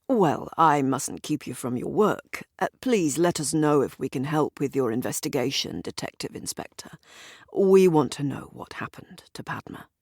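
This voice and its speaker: A woman voicing an earnest, courteous man grieving a friend, measured, in an audio drama. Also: low-pitched, expressive, darkish tone, slightly rough, no room sound, studio quality, full character voice. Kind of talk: audio drama character dialogue.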